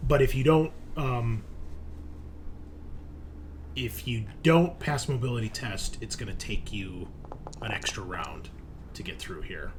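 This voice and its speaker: A man acts as game master for a tabletop roleplaying game, talking in a casual, conversational style with a mid-range voice. He moves between narrating scenes and explaining rules. There is some noise in the recording. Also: medium pitch. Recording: noticeable background noise.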